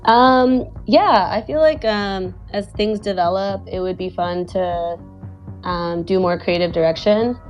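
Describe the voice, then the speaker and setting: A woman's voice: medium pitch, a little slow, muffled recording, soft background music. A woman from Venezuela, a guest animator and director, talking casually and rambling with lots of "like" and "you know". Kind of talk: casual chat in an online audio space.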